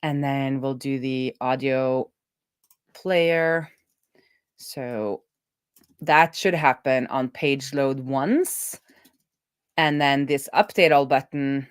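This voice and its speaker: A woman's voice: rumbling low, slow pace, long pauses, clear, resonant tone. A woman live-streaming as a web developer, casually thinking aloud as she talks through each coding step. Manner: thinking aloud, talking through steps to herself.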